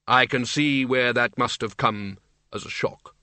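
Audiobook reaper played by a man: skeletal, unhurried, terse, gravely dry, very measured delivery. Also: mid-range voice, steady tone, bright, forceful tone, no room sound. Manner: slow, deliberate, terse. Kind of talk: audiobook character voice.

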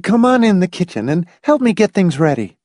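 A man voicing a sly, commanding wife with a brisk manner, in an audiobook. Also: a little high, sing-song, mellow, no echo, studio quality, pitched above the performer's own voice. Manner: clear, commanding.

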